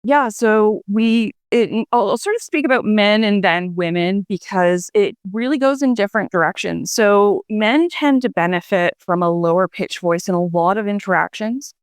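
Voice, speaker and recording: A woman's voice: mid-range voice, lively intonation, normal speed, few pauses, clear, resonant tone, no echo, clean studio sound. A woman psychology researcher explaining her findings in a radio interview, with frequent hedging fillers.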